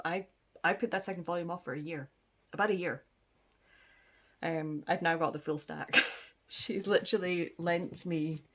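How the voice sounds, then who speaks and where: A woman rambles casually at home, sniffling through a mild cold. Her voice sits fairly low, and she chats in a self-deprecating way, trailing off into ums and little laughs. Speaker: book vlogger. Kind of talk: casual rambling chat to camera. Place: indoors at home.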